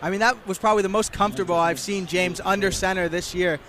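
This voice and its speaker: High-voiced young male football color commentator. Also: fairly even intonation, fast, a bit bright, a little hiss or hum. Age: young adult. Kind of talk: conversational football analysis. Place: football stadium broadcast position.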